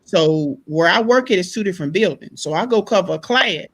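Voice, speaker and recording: A woman with a loud speaking voice, talking animatedly in a casual group discussion, acting out the exchanges she retells. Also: fairly low pitch, a little fast. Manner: animated storyteller, acts out quoted exchanges.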